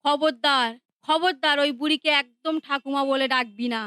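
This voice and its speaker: A woman's voice: fairly even intonation, moderate pace, a bit bright, dry recording, studio quality. A woman voicing a harsh, scolding mother and daughter-in-law in a cartoon. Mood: angry. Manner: shrill, scolding.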